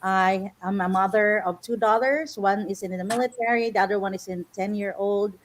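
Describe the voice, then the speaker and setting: A woman's voice: mid-range voice, steady tone, moderate pace, a little hiss or hum. A middle-aged woman from the Philippines, a panelist giving earnest answers with everyday examples. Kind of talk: panel discussion.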